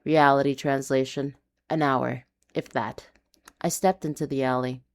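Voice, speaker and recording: Low, slow, gravelly woman storyteller. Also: fairly even intonation. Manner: hushed, dramatic, deliberate. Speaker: narrator reading original noir urban fantasy. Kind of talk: scripted noir confessional storytelling.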